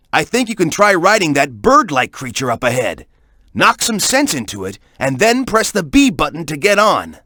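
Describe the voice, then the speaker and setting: A man's voice: a little high, a bit bright, dry recording, studio quality. A man voicing an upbeat, cocky young male sidekick in a video game, giving hints and encouragement in a casual, peppy, instructive way. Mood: upbeat, encouraging.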